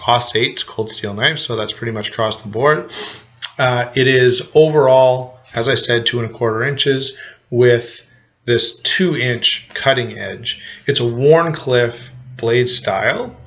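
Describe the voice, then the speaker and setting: A man's voice: mid-range voice, a bit bright, lo-fi sound. A man with a cold giving a casual, rambling knife review to camera, full of restarts and fillers. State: has a cold.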